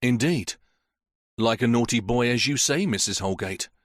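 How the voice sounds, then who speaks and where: A man voicing a polite, patient police inspector who asks measured questions. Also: mid-range voice, expressive, fairly bright tone, dry recording, clean studio sound, natural voice.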